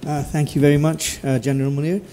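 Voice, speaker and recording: A man in his forties, co-host and editor, giving measured, courteous closing remarks at a table mic in a conference hall. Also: on the high side, flat delivery, mellow, a little hiss or hum.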